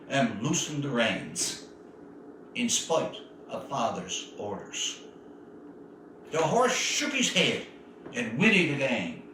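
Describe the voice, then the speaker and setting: A man reading his own literary short story aloud in a dramatic, deliberate storytelling manner with measured phrasing. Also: fairly high pitch, sharp tone. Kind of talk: literary story reading, first-person narration. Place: indoor room, live stream. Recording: echoey room, distant mic, faint noise.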